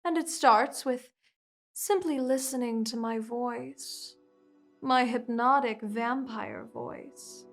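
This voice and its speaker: A woman voicing a slow, hypnotic vampire queen. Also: a little high, animated, soft background music. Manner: slow, soothing, hypnotic and controlling. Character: commanding seductive female vampire queen. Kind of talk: ASMR hypnosis roleplay.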